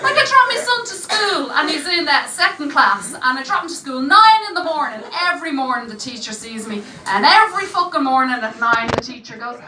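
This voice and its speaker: A woman in her thirties with a Dublin Irish accent, doing a stand-up set in a brash, profane way with deadpan punchlines. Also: high-pitched, fairly quick, hardly any pauses. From Ireland. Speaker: stand-up comedian. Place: comedy club stage. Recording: echoey stage sound from a distance with noise. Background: laughing audience.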